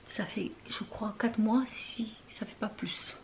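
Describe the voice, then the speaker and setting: A woman's voice: mid-range voice, fairly even intonation, slow. Chatty young woman, drifting into whispers. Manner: chatty and rambling, many fillers and trailing phrases; often drops into a soft whisper. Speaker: beauty vlogger. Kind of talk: casual chat to camera. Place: her bedroom at home.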